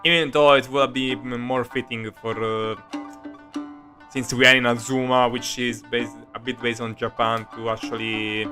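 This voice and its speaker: A male streamer with a mid-range voice talks casually over his gameplay. His delivery is halting and hesitant, and game music plays clearly behind him. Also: mid-range voice, flat delivery, pushed and bright. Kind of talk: casual live commentary on gameplay. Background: video game music.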